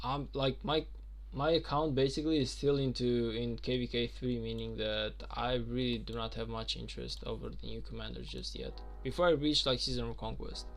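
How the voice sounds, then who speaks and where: A man's voice: medium pitch, fairly quick, few pauses. A young man from Albania, chatting casually on a game stream and answering viewer questions with lots of fillers. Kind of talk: casual live-stream chat.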